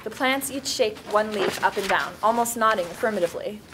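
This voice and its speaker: A woman's voice: medium pitch, a little fast, fairly bright tone, rough recording. A woman narrator reading scripted stage directions at a table read, with faint room noise.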